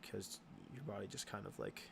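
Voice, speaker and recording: A young man hosting a casual livestream Q and A, answering chat questions in a halting way with many ums and restarts. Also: fairly low pitch, animated, vocal fry, noisy background.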